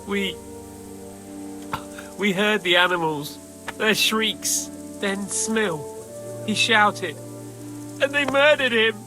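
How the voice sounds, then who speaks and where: An actor playing a shaken, bitter male raid survivor in a video game, speaking haltingly. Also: sits high, over music, low-quality recording. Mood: distraught. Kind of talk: video game dialogue acting.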